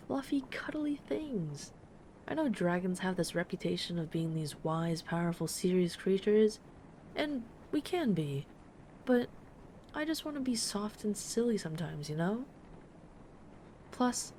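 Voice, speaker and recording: A woman playing a gentle, affectionate dragon friend in an intimate comforting audio roleplay, speaking softly and tenderly to the listener. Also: medium pitch, slight background noise, lightly acted. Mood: soft, delighted. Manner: soft-spoken, tender, unhurried reassurance. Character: gentle, affectionate female dragon friend who loves soft, cuddly plushies.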